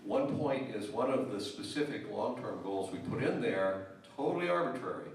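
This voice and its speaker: A town selectman giving measured remarks at a public meeting, with hesitant restarts. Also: mid-range voice, animated, slight background noise. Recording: very echoey hall, distant mic.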